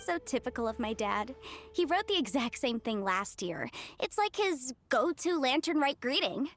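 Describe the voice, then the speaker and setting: A high, animated young woman's voice, easygoing and chatty, for a video game character. She sounds breezy and sociable, with faint music behind her. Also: moderate pace. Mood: carefree. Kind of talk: English video game voice acting.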